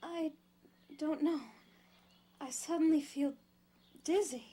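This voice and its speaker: An actress playing a gently teasing, caring young wife in an audio drama, with faint background noise. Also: female voice, high-pitched, steady tone, slow pace. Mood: faint, dizzy. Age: young adult.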